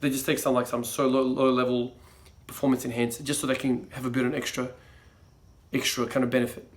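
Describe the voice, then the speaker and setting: A man's voice: medium pitch, little pitch movement, quick pace. A young man from Croatia, grown up in Australia, talking casually to camera in a rambling way, full of fillers and restarts. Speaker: fitness vlogger.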